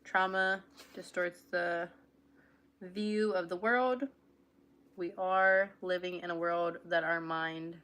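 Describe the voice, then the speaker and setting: A woman's voice: lowish voice, fairly bright tone. A young woman hosting a casual livestream, reading aloud and chatting affectionately with her viewers. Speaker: livestream host.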